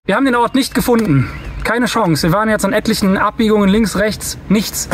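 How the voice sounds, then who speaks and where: A man talking casually to the camera while out filming, quick and a little exasperated. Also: high-pitched, fairly bright tone, a little hiss or hum. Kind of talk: casual on-the-go vlog comment.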